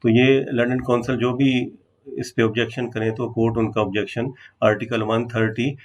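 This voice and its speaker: Pakistani guy teaching law, medium pitch, explains stuff informally, drops in english legal terms. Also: level tone, moderate pace, poor audio. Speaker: law lecturer. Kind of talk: informal legal lesson, explaining and reading out statute.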